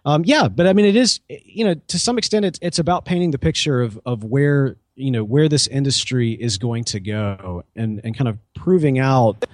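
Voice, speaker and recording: A man's voice: medium pitch, moderate pace, hardly any pauses, dry recording, studio quality. A man speaking informally as an interview guest, a start-up co-founder in casual conversation who often acts out bits of dialogue. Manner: informal, talks in hypothetical quoted dialogue.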